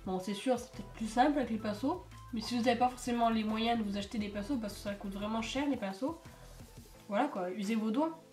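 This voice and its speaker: A woman's voice: fairly high pitch, a little fast, darkish tone, echoey room, faint music behind. A teenage girl with a cold and a stuffy nose, chatting casually through a makeup tutorial with lots of fillers. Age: teens. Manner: chatty, rambling with many fillers. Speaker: beauty vlogger. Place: home.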